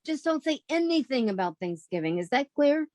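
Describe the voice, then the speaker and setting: Woman acting a sensible young roommate, higher voice, animated, wry, wisecracking, exasperated, 1940s radio comedy, dry recording. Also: a little fast, no echo.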